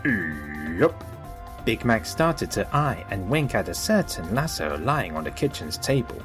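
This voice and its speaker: Animated male storybook narrator. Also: on the low side, normal speed, music in the background.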